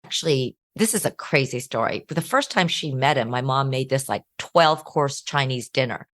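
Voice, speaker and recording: Low-voiced woman telling family stories. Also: normal speed, no room sound, clean studio sound. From United States. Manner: lively anecdotes with acted quotes of family. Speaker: guest. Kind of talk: interview storytelling.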